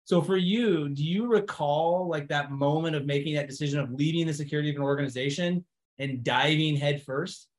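A man's voice: on the high side, fairly quick. A middle-aged man moderating a founders' panel, chatting casually and rambling a little into his own experience. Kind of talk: casual interview chat. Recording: roomy, echoing sound.

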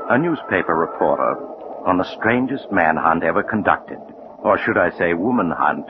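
An actor playing a sinister yet genial storyteller, narrating a 1940s radio mystery in an ominous, deliberate, teasing way. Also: male voice, deep voice, phone-quality sound, background noise. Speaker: host and narrator of a mystery series. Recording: 1940s radio recording, loud music behind.

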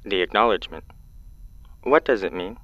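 A man's voice: low voice, animated, fairly bright tone, no room sound, lo-fi sound. A grave, terse male caller. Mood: grave, challenging. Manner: terse, deliberate, questioning. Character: grave, probing male caller challenging official history.

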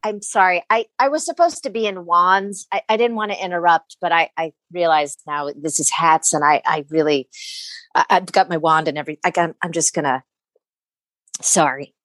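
Low-voiced, flustered student wizard played by a woman. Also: moderate pace, a bit bright, dry recording, lightly acted. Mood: flustered, apologetic. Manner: stammering, hesitant, over-polite. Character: awkward, apologetic, flustered young wizard student. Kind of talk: improvised comedy sketch acting.

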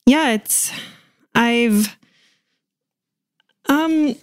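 A woman's voice: on the high side, extremely slow, dry recording, studio quality. An American woman from the Washington DC suburbs, talking casually and hesitantly as an interview guest. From suburbs of Washington DC, USA. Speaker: podcast guest.

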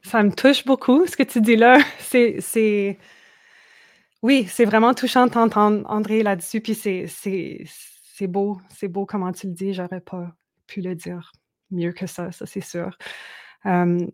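A woman's voice: medium pitch, fairly even intonation, measured pace, no room sound, clean studio sound. A woman, a guest musician in an interview, speaking thoughtfully with frequent restarts. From Manitoba, Canada. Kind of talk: interview conversation.

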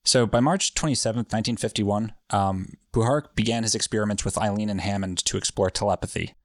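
A man's voice: on the low side, quick pace, no echo, studio quality. A man hosting a podcast, telling a story in a loose, rambling, profane way with lots of fillers. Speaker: podcast host. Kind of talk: casual storytelling chat.

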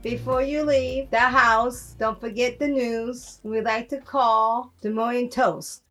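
A woman hosts a podcast with scripted introductions. Her voice is fairly high and she takes it slowly, over faint music. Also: steady tone, a bit bright. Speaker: podcast host. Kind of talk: scripted hosting.

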